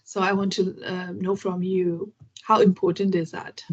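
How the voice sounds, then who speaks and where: A woman's voice: on the low side, level tone, unhurried, highs cut off. A woman from Kerala, hosting an interview in a polite, hesitant way with many fillers. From Kerala, India. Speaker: host. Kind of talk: conversational interview for learners.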